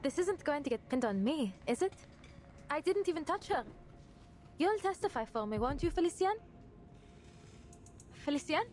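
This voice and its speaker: A jittery young woman in a game, high pitched, kinda wry, music playing. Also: sing-song, normal speed, pauses often, darkish tone. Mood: anxious, urgent. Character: nervous, defensive young woman, a Parisian tour driver. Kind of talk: dramatic game voice acting. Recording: music behind the voice.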